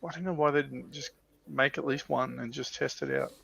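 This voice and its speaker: A man's voice: mid-range voice, a little fast, gravelly, fairly bright tone, breathy edge, no echo, dull audio. An Australian man chatting casually on a hobby livestream about military vehicles.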